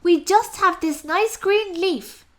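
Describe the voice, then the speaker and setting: A woman's voice: very high voice, slow, voice pushed up. A woman voicing tiny, timid ants in a storybook, meek and apologetic. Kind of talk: storybook character voice.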